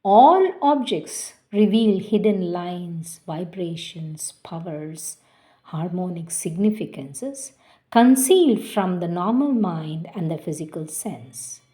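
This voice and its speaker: A woman reads a spiritual text aloud at a very slow, unhurried pace. Her voice is mellow and fairly low. She is measured and reverent, and her phrases fade softly at their ends. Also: expressive. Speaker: devotional reader. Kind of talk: slow devotional reading.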